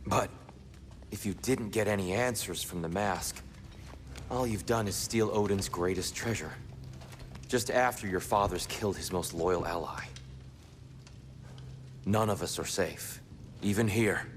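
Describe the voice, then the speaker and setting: Low-voiced, persuasive god. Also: male voice, slight background noise. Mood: urgent, persuasive. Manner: measured, persuasive, formal. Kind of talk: video game character acting.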